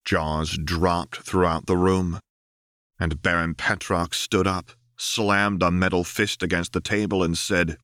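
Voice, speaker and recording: A man's voice: sits low, level tone, takes it slowly, a bit bright, dry recording, clean studio sound. A man reading a fiction audiobook as narrator, in dramatic scripted narration.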